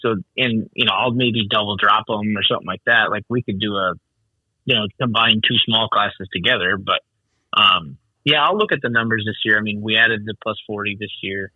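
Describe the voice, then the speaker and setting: A man's voice: fairly low pitch, a little fast, dry recording, lo-fi sound. A man who organizes races, chatting casually on a call with many restarts and fillers. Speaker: snowmobile race organizer. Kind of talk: casual phone conversation.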